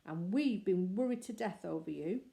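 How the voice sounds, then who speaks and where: A woman's voice: fairly low pitch, animated, normal speed, vocal fry, mellow. A woman reading aloud voices a worried, firm middle-aged father who speaks in a reassuring way.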